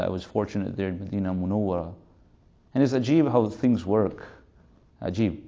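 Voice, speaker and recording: A man in his fifties teaching a religious class at a quick clip, his voice low and mellow. He rambles through stories and drops in Arabic and Urdu terms. The recording sounds dull and lo-fi. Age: 50s. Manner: rambling, anecdotal, mixes in Arabic and Urdu terms, recites verses fast. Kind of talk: informal religious lecture.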